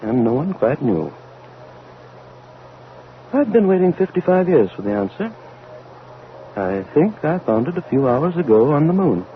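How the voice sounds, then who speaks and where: An actor playing a grave, haunted elderly atomic scientist commanding a rocket in a vintage radio drama, with solemn, formal speech. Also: male voice, sing-song. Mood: brooding, grave. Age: older. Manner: solemn, deliberate, authoritative, formal wording. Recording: tinny vintage radio recording, background rumble.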